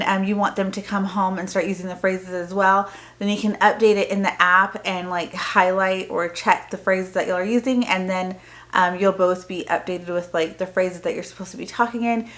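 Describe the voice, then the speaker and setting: An American woman with a mid-range voice speaks as the host of a casual homeschooling vlog. She explains things in a chatty, informal way. Her sentences wander a little as she goes. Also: medium pitch. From Texas, United States. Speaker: homeschooling mother and video host. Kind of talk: casual vlog product talk to camera.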